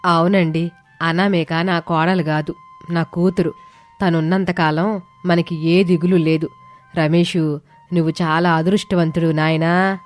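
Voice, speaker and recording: A woman's voice: fairly low pitch, flat delivery, measured pace, long pauses, faint music behind, pitched below the performer's own voice, close to an everyday voice. A woman voicing an affectionate, doting elderly mother-in-law in a family storybook drama. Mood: grateful, doting.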